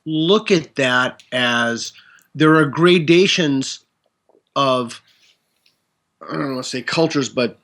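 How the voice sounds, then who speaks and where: A man speaking as a guest in a conversation. He talks in a measured way, hedges his claims and reaches for academic words. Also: medium pitch, a bit bright. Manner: measured, hedging, academic wording. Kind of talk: casual conversation.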